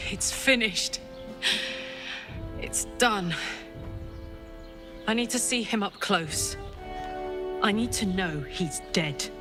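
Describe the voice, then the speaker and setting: A woman's voice: medium pitch, drawn-out words, pauses often, over music. A woman acting a brash but tender warrior who is grieving her own coming death in a video game, raw and heartfelt. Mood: drained, bitter.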